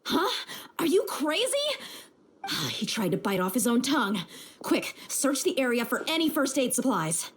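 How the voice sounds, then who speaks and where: A woman's voice: fairly high pitch, big pitch swings, moderate pace, a little hiss or hum. A woman voicing a tough, confident young female mercenary with a blunt, streetwise manner in a video game. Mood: startled, concerned. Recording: music behind the voice. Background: game music.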